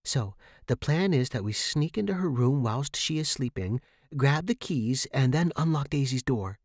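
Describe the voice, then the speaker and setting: A man's voice: medium pitch, lively intonation, normal speed, a little mellow, no room sound, clean studio sound. A man voicing a persuasive young woman, insistent and conspiratorial, in a horror story. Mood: conspiratorial, daring. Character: daring, persuasive young woman writer, scheming friend.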